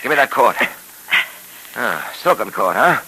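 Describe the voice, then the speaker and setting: An actor playing a tough, alert police detective in a brisk, commanding 1930s radio serial style. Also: male voice, medium pitch, big pitch swings, bright, forceful tone, husky, exaggerated voice, rough recording. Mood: tense. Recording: hissy 1930s radio broadcast recording.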